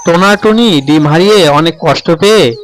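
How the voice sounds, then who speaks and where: A man's voice: fairly high pitch, moderate pace, faint music behind. A man narrating a scripted children's story as the storyteller.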